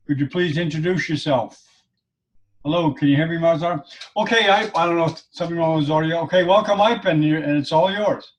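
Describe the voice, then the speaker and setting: A man's voice: a little high, fairly quick, rough recording. A man hosting and moderating a medical webinar over a video call, politely prompting the panelists to introduce themselves. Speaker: host.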